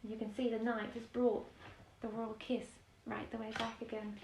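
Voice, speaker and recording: A woman's voice: fairly high pitch, level tone, normal speed, gravelly, background noise. A woman reads a children's bedtime story expressively, with chatty explanations and questions for young listeners. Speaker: storyteller reading a children's picture book. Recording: roomy echo, distant microphone.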